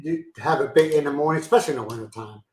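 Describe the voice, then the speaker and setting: A man's voice: a little high, rapid-fire, a little mellow, roomy sound, rough recording. A man from Pennsylvania hosting a casual live-stream chat, rambling and self-deprecating, laughing easily. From Pennsylvania, USA. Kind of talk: casual chat reading viewer comments. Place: home in the Philippines.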